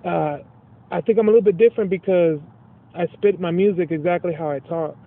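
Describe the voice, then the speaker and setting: A man's voice: high-pitched, brisk. Candid streetwise man on a tinny line. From Los Angeles. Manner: candid, streetwise, swears freely. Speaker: rapper guest. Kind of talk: casual interview chat.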